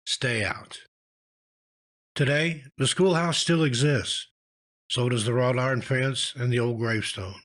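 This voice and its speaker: A man narrating scripted scary stories in a measured, dramatic storytelling manner, letting his phrases drop at the end. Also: medium pitch, fairly even intonation, fairly bright tone.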